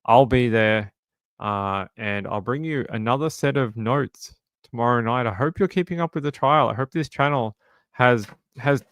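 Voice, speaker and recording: Australian guy, about forty, streamer, casual rambling chat, mid pitch, dry close mic. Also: normal speed, fairly bright tone, no room sound. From Sydney, Australia. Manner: rambling, self-correcting storyteller. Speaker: true crime streamer.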